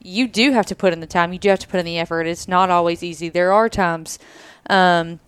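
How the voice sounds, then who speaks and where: A woman's voice: lowish voice, steady tone, brisk, clear, resonant tone, no echo. A woman in her twenties talking candidly on a podcast at a trade expo, thinking aloud with hedges. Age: 20s. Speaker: podcast co-host, married to co-host. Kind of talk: casual podcast conversation.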